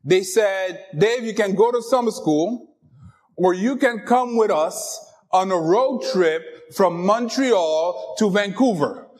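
A middle-aged man whose first language is French, preaching a lively, joking sermon and talking straight to the congregation. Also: high-pitched, slow pace. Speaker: pastor.

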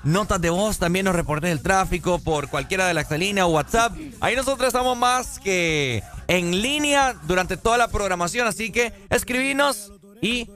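A man's voice: sits high, normal speed, fairly bright tone. A man with a Honduran accent hosting a morning radio show, chatty and upbeat. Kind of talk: casual morning show chat.

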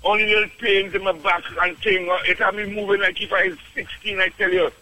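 A man's voice: high-pitched, flat delivery, a little fast, bright, forceful tone, faint music behind, rough recording. A man in his fifties from Trinidad with a Trinidadian accent, calling in to a radio show and raving enthusiastically, calling the host "boss" again and again. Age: 50s. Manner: enthusiastic, repeats "boss" often, chuckles. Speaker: radio caller giving a testimonial. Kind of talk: phone-in radio chat.

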